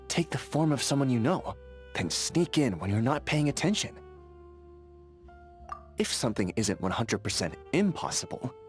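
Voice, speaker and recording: An actor playing an earnest, vigilant young sentry in a fantasy video game, friendly but overcautious, speaking in a methodical, conversational way. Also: male voice, mid-range voice, natural voice. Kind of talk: video game character dialogue.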